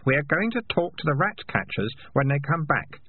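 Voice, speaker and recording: A man's voice: on the high side, big pitch swings, moderate pace, phone-quality sound, slight background noise, pitched above the performer's own voice. A man voicing a serious, determined young man urging his friends. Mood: urgent. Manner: serious, urgent.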